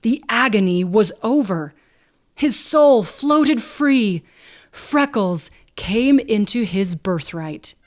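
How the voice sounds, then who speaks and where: A woman narrating a literary story from a script, expressive and measured, with a dramatic storytelling delivery. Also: mid-range voice. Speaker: audiobook narrator.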